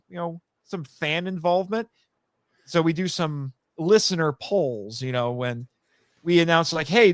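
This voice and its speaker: A man with a fairly high, smooth voice chats casually as a podcast guest. He rambles through plugs for his own work in a joking, self-deprecating way, recorded close and dry. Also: dry recording. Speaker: podcast guest, writer and audio drama voice actor. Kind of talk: casual chat, plugging own projects.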